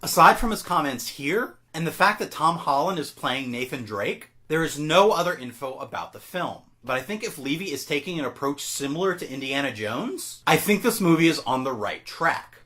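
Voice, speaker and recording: Male online host, slightly high voice, very lively intonation, scripted geek-culture commentary delivered with enthusiasm. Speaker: YouTube host. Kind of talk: scripted video commentary on film and game news.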